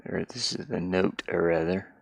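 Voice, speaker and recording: A man's voice: low voice, moderate pace, creaky, dry recording. A middle-aged man chatting casually on a livestream while he works, hesitant and muttering to himself. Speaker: livestreamer and hobby musician.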